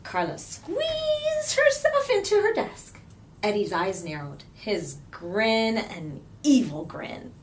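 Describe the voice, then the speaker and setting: A woman reads a children's story aloud as storyteller. She takes her time, leaving dramatic pauses and stressing key words. Her pitch is very lively, moving widely across each phrase. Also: slight background noise. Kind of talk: expressive children's read-aloud narration.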